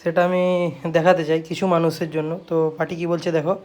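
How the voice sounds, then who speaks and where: A man's voice: fairly high pitch, little pitch movement, fast talker, very noisy. A man with a West Bengal Bengali accent, a repair shop owner talking casually to viewers, repeating himself and often adding confirming tags. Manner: repetitive, habitual confirming tags. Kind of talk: casual shop video, showing work to viewers.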